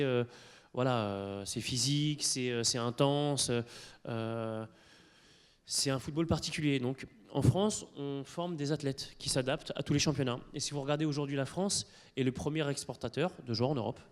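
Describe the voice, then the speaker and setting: A man of Italian origin speaking as a conference panelist in a university lecture hall, explaining things hesitantly with many pauses and restarts. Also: medium pitch. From Italy. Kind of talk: explanatory talk to an audience.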